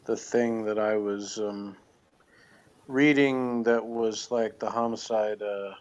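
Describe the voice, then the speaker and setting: A man with a slightly low voice chats as a podcast co-host. His talk is informal and off the cuff, with a hesitant manner as he feels for the right words. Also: fairly even intonation, takes it slowly, darkish tone, muffled recording. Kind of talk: casual unscripted chat.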